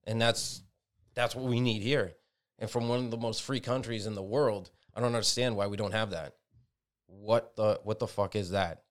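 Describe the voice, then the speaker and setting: A low-pitched American man in a casual podcast chat, quick to chime in with short agreements. He lets a curse slip now and then and sounds plainly conversational. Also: fairly even intonation. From United States. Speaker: podcast co-host.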